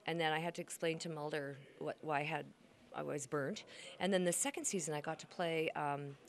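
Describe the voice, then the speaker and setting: A woman's voice: deep voice, fairly even intonation, a little fast. A woman over sixty, a grandmother and actor, chatting as an interview guest and telling stories with acted-out quotes. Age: older. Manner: chatty storyteller, acts out quotes. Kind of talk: casual interview chat.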